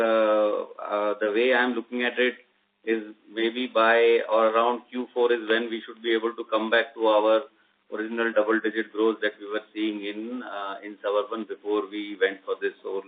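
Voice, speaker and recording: A man with an Indian accent giving halting, measured, explanatory executive answers on a conference call. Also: on the low side. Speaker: company executive answering analysts' questions. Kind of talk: unscripted earnings call answers. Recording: narrowband phone-line audio.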